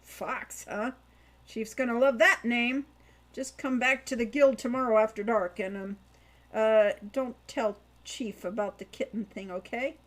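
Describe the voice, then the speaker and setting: A woman's voice: a little high, fairly even intonation, measured pace, natural voice. A woman voicing an awkward, good-natured young male thief in an audiobook, slangy and folksy with a stammer. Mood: sheepish, chummy.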